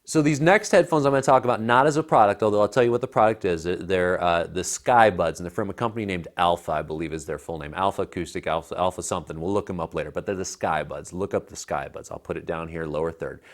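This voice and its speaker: A man's voice: deep voice, quick pace, few pauses. A man in his thirties giving a casual, emphatic and opinionated product review as a co-host. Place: living room by a fireplace, indoors. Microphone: lapel mic.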